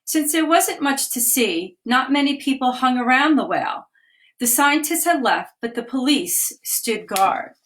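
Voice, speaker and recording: A woman with a slightly raised voice reads a children's picture book aloud over a live video stream. She paces each line gently and clearly, stopping now and then to point out what the pictures show. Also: high-pitched, animated, unhurried. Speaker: children's author reading aloud. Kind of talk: storybook reading aloud. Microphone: phone or webcam on a live video stream.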